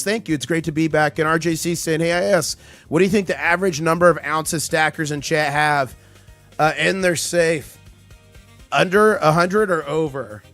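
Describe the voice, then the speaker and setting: Chatty man hosting a live market stream. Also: on the high side. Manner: chatty, reads chat aloud, excitable. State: recovering from lost voice. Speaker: livestream host. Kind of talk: casual live market commentary.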